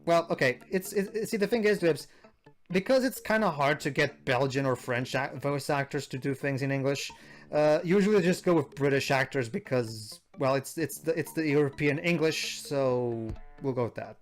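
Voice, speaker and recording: A man's voice: fairly high pitch, moderate pace, soft background music. A man hosting a let's play, talking casually and explaining at length with lots of fillers and restarts. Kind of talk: casual gameplay commentary.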